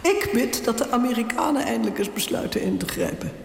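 An actress playing an elderly, stubborn and proud exiled queen in a radio drama, speaking in an imperious, indignant manner with a gravelly voice. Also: a little high, huge pitch swings, a little fast, a little hiss or hum, heavily acted.

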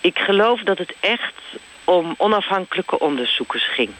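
A man's voice: high voice, expressive, moderate pace, a bit bright, slight background noise, poor audio. A man playing a flustered, vague executive's wife who talks hesitantly and evasively in a mock radio interview.

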